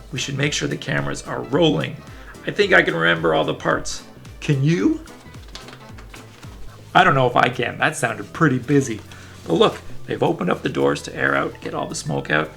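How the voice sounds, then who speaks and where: A man's voice: a little high, very animated, moderate pace, gravelly, fairly bright tone, faint music behind. A man reading a children's story aloud in a playful, enthusiastic way, with casual asides to young listeners. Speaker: children's book reader.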